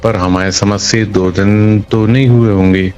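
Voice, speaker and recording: A man's voice: lowish voice, fairly even intonation, a little slow, a little mellow, soft background music. A man answering an interviewer in a colloquial way, often repeating phrases for emphasis. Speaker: local political party member being interviewed.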